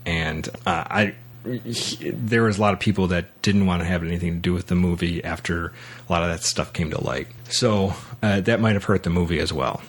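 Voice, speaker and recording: Low-pitched man, casual podcast talk. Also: fairly quick, husky. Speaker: podcast host. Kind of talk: casual film and book discussion.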